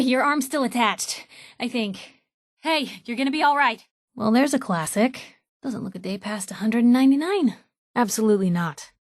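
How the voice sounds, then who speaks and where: Sassy young woman reporter, high dry-recorded voice, wry folksy slang, loyal wasteland companion, short game voice lines. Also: dry recording. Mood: concerned. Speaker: video game companion character.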